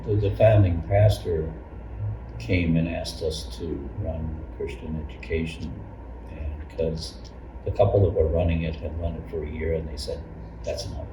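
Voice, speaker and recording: An older man with a very deep voice reminisces about his years as a volunteer teacher. He speaks haltingly, circling back over phrases. The recording is roomy and distant, with clear background noise. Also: steady tone. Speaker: longtime church member and Sunday school teacher. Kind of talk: personal reminiscence in an oral history interview.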